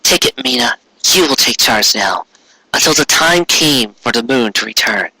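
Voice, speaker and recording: A man voicing a solemn, motherly queen in a measured way for a fan fiction story. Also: mid-range voice, expressive, bright, forceful tone, dry recording, rough recording. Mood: solemn, consoling. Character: solemn, motherly moon queen, gentle and regal.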